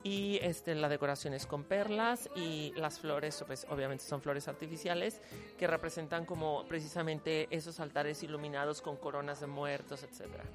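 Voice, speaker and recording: A woman costume designer explaining her work haltingly, restarting words. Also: low-pitched, fairly even intonation. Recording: interview with loud music behind. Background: music playing.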